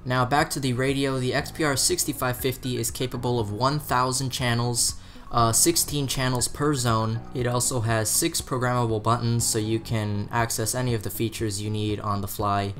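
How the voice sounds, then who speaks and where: A man's voice: medium pitch, little pitch movement, normal speed, fairly bright tone. A man with an American accent presenting a scripted product review as a shop's presenter. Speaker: presenter from a two-way radio shop. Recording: music behind the voice. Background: guitar music.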